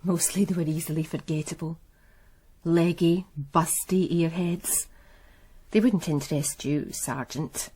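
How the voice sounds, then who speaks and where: An actress playing a cool, calculating, seductive businesswoman in a radio drama, poised and knowing, with a slightly husky voice. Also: sits low, fairly even intonation, unhurried, warm tone. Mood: coolly scornful. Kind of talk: naturalistic radio drama acting.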